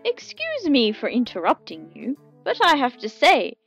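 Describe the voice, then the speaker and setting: A woman voicing a big male monster who is overly polite, high and shrill. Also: wildly swooping pitch, normal speed, vocal fry, a bit bright, husky, soft background music, heavily acted, low-quality recording. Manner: overly polite, then shrieking in panic.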